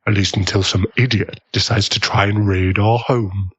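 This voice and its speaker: A husky young male vampire, acted by a man, pitched low and a little muffled. He is smug and possessive, doting one moment and coolly predatory the next, in an intimate ASMR roleplay style. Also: steady tone, moderate pace. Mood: smug menace. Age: young adult. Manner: teasing, coolly menacing. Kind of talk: ASMR boyfriend audio roleplay.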